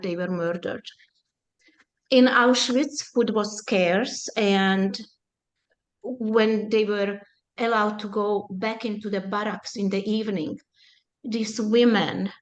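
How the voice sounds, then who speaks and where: A woman in her seventies whose first language is Hungarian, giving a slow, deliberate personal testimony as a presenter at a support group. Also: mid-range voice, highs cut off. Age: 70s.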